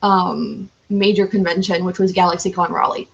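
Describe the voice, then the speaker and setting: A woman's voice: fairly low pitch, fairly even intonation, moderate pace, vocal fry, lo-fi sound. A woman from the United States chatting casually as a podcast guest, rambling and correcting herself as she goes. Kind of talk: casual chat.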